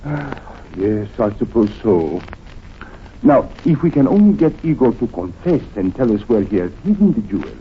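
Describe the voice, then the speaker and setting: An actor playing a suave, courteous foreign consul in an old-time radio drama, with formal, careful phrasing. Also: male voice, medium pitch, sing-song, normal speed, close to an everyday voice. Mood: dismayed. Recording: tinny, noisy vintage radio recording.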